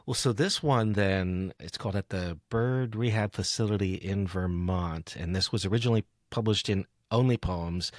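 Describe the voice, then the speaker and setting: Male podcast host, low register, dry close sound, reflective and searching delivery in relaxed conversation. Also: normal speed, hardly any pauses, dry recording. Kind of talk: casual conversational interview.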